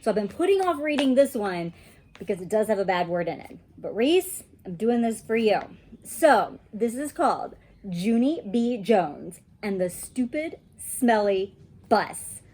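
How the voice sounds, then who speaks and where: A woman who works as a teacher, talking and reading in an expressive, child-friendly storytime manner. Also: medium pitch, unhurried. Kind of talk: read-aloud storytime with casual chat.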